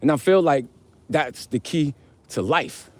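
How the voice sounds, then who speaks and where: A man with a deep voice hosting a casual, rambling vlog, speaking in slangy, conversational style and addressing listeners as brother and man. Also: lively intonation, unhurried, no echo. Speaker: host of a live vlog. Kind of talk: casual rambling talk, answering viewer comments.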